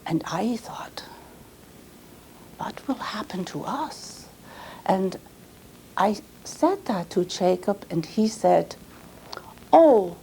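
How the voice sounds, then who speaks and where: A woman performs as an earnest Swiss immigrant grandmother recalling her life in a dramatic monologue. Her Swiss German accent comes in a mellow voice at a slow pace, with careful, halting English and small self-corrections. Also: on the low side, a little hiss or hum, rough recording. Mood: wistful, resigned. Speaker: storyteller performing a reenactment. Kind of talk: dramatized first-person monologue.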